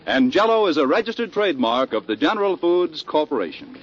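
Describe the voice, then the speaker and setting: A man's voice: fairly high pitch, very animated, brisk, a little hiss or hum. A man with an American accent announcing on 1940s radio in a polished, enthusiastic, scripted sales style. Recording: muffled 1940s radio broadcast.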